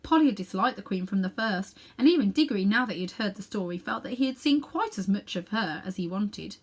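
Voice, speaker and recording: A woman's voice: mid-range voice, lively intonation, fairly quick. A woman narrating a children's novel expressively as a storybook reader.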